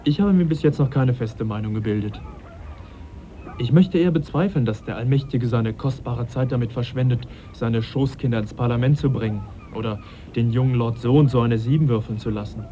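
An actor playing a modest, sceptical young English naturalist in a German radio drama, speaking in a reflective, politely questioning way. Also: male voice, mid-range voice, warm tone, natural voice. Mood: wry, questioning. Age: young adult. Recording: dull, faintly noisy radio drama recording.

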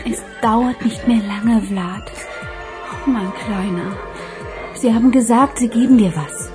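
A woman's voice: a little high, unhurried, music in the background, lightly acted. An actress playing a frightened but tender young woman on the run in a radio crime drama.

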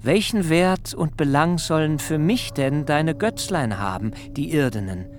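Slow-speaking, self-possessed shrewd man. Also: fairly high pitch, animated, faint music behind. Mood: astonished, indignant. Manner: measured, deliberate, articulate. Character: self-possessed, shrewd son-in-law, righteously astonished storyteller. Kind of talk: literary radio drama acting and narration.

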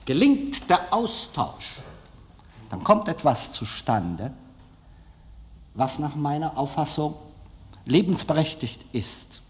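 A middle-aged man giving an anecdotal public lecture as a film director, speaking deliberately and rhetorically with dramatic pauses. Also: a little high, very animated. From Germany. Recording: reverberant lecture hall.

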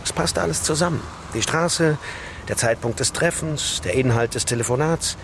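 An actor playing a confident, self-satisfied police inspector in a naturalistic radio crime drama, talking in a matter-of-fact, assured way. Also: male voice, a little high, moderate pace, noisy background, close to an everyday voice. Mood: confident, dismissive.

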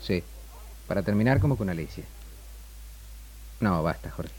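An actor playing a troubled, self-doubting young camera director in a 1960s Argentine radio drama. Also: male voice, deep voice, animated, moderate pace, darkish tone, slightly rough, rough recording. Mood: troubled, brooding.

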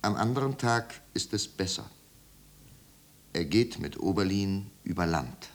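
A man narrating a bleak 1950s radio drama as a somber, measured storyteller. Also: sits low, lively intonation. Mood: urgent, sober. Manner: hushed, grave, measured. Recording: old radio recording with hiss and hum.

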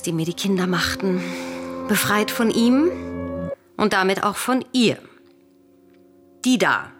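A proud queen and cold mother in a Greek tragedy radio drama. She declaims in measured, imperious verse, with music clearly playing behind her. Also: on the low side.

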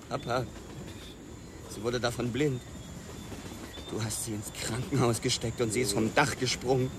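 A man's voice: medium pitch, noisy background, natural voice. Man acting a bitter, reproachful young policeman. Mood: grim reproach. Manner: reproachful, accusing. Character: bitter young policeman son, reproachful toward his father. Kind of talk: radio drama acting.

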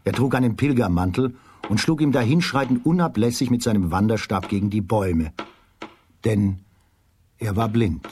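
A man's voice: lowish voice, moderate pace, a little mellow, a little hiss or hum. A man narrating a children's fairy tale as scripted storytelling in a radio play.

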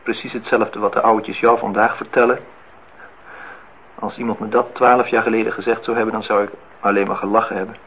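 An actor playing a disillusioned, reflective man in his thirties in a Dutch radio play, speaking in measured, philosophical phrases. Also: fairly low pitch, little pitch movement, lightly acted. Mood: sardonic, lecturing. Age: 30s. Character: disillusioned, reflective man musing on truth and love. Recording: dull, noisy old radio play recording.

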